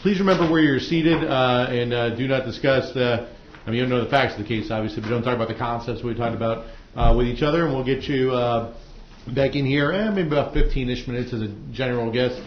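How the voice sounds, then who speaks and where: A man talks quickly in an echoey, slightly muffled courtroom recording. He is a judge addressing a jury panel informally, punctuating his instructions with uhs. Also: medium pitch, a little hiss or hum. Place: indoor courtroom.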